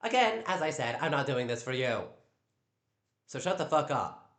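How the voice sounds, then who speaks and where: Man, live streamer, loud voice, stammers, defensive, mid-pitched, echoey home room. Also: very animated, normal speed. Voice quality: naturally loud voice. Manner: defensive, stammers with repeated words.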